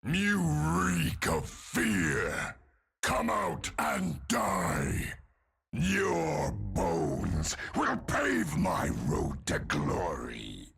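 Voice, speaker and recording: An actor playing a hulking undead warrior in a video game, raging and bloodthirsty in a raspy growl, with slow menacing declarations that burst into roars. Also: male voice, on the low side, full character voice. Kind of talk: video game character voice lines.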